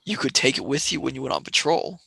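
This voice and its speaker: A sardonic young male space traveller, acted by a voice actor in a radio play. The pitch swings widely and the tone is bright. The sound is dry and close to the mic. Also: expressive, quick pace, vocal fry, husky, no room sound, heavily acted. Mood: wry. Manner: sarcastic, wheedling.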